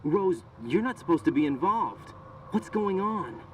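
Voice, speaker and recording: A man's voice: high-pitched, big pitch swings, a little slow. An actor playing a young male military operative, terse and earnest, in scripted video game radio dialogue. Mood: disbelieving. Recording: distant voice with faint background noise.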